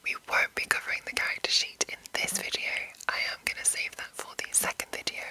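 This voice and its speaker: A woman whispering an explanation in a breathy, rambling, unscripted ASMR style, soft and measured. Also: rough recording. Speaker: ASMR host explaining a roleplaying game.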